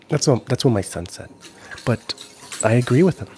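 A man's voice: mid-range voice, dark tone, a little hiss or hum. Laid-back man chatting on a livestream. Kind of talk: casual livestream chat. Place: small room at home. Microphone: handheld-style recorder on a stand, close up.

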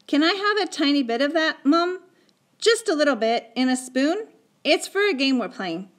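A woman's voice: high-pitched, expressive, moderate pace, voice pushed up. A woman voicing an anxious, earnest young boy in an audiobook, with an urgent, insistent manner. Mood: eager, evasive. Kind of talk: acted audiobook dialogue.

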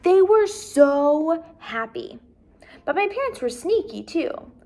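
A woman in her twenties reading a children's book aloud as a teacher, with expressive, clearly paced narration. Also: very high voice, slow. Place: small decorated indoor room.

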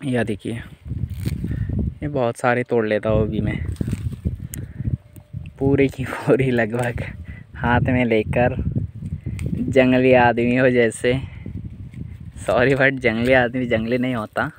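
A man's voice: mid-range voice, level tone, slow, long pauses, background noise. A young man giving a chatty walking tour of his farm, talking to viewers through a phone held at arm's length outdoors. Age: young adult. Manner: chatty, addresses viewers as friends. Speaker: vlogger. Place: outdoors on a dry farm among trees.

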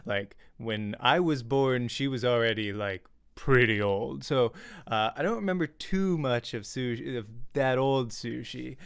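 A young man chatting casually as a vlogger, telling a personal story in an animated way and acting out reactions, close on a desk mic at home. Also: mid-range voice, measured pace, creaky, smooth. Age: young adult. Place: small home room. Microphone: desk studio mic with pop filter, close.

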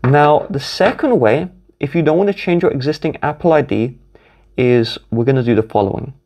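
A man's voice: mid-range voice, moderate pace, a little mellow. A man from the UK with a British accent, methodically narrating a step-by-step phone tutorial while filming the screens at a desk. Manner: instructive, methodical, walks through each tap. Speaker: tech tutorial host.

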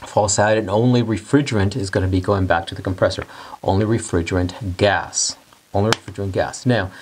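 Male instructor, 40s, slightly low voice, patient step-by-step whiteboard explainer in a studio. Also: darkish tone. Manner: explains step by step, repeats key points while drawing. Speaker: HVAC instructor. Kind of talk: whiteboard teaching lesson.

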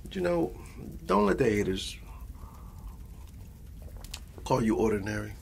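Man playing a gravelly old Spanish-accented grandpa. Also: on the low side, big pitch swings, measured pace, darkish tone, slight background noise, exaggerated voice. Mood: admiring, musing. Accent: Spanish-accented English. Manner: rambling, repeats phrases, slips between English and Spanish. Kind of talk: ASMR bedtime storytelling roleplay.

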